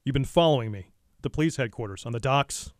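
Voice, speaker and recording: An actor playing a grim, stern vigilante detective in a noir radio drama, terse and brooding. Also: male voice, mid-range voice, lively intonation, fast, lightly acted.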